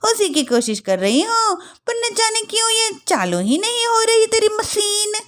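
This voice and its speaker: A woman voicing a middle-aged, rustic mother-in-law in a story cartoon, with a rural North Indian Hindi accent and folksy, drawn-out grumbling and exclamations. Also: extremely high pitch, big pitch swings, fairly bright tone, pitched above the performer's own voice, exaggerated voice. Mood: defensive, folksy. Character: dramatic rustic village mother-in-law, complaining and easily amazed. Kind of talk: moral-story cartoon voice acting.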